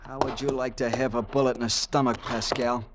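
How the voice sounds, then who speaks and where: An actor playing a hot-tempered, greedy gangster who grumbles in a surly way, in old radio drama style. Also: male voice, mid-range voice, flat delivery, fairly quick, slight background noise, close to an everyday voice. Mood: threatening. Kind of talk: 1940s-50s radio acting.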